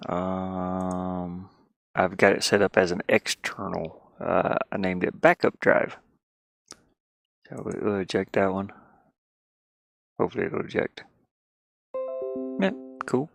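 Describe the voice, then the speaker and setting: A man with a low voice and a gravelly creak talks as a streamer in casual chat. He rambles and thinks out loud. The sound is dry and close, with little room around it. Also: slow, long pauses, no room sound. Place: inside a van he lives in.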